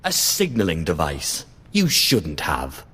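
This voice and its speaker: An actor playing an arrogant, menacing Sith sorcerer in a video game, with an imperious, taunting manner. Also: male voice, low-pitched, wildly swooping pitch, slow pace, bright, forceful tone, breathy edge, full character voice. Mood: cold, taunting. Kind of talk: video game cutscene acting. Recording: long hall reverb over music.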